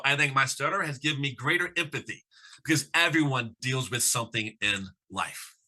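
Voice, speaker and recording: A man's voice: on the high side, sharp tone. A man who stutters, giving a motivational conference talk with emphatic rhetorical questions. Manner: stutters with sound repetitions. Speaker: conference speaker.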